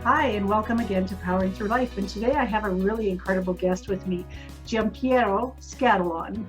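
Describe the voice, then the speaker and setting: A woman's voice: mid-range voice, fairly quick. A woman hosting a radio talk show as a life coach, welcoming her guest in a friendly, conversational way. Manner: welcoming, scripted intro then conversational. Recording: dull lo-fi sound with faint music.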